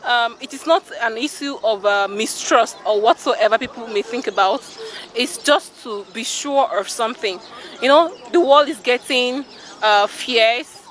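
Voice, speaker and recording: A woman's voice: fairly high pitch, unhurried, fairly bright tone, a little hiss or hum. A young Nigerian woman giving a firm opinion in a street interview into a handheld mic. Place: busy city street outdoors. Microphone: handheld mic held by interviewer.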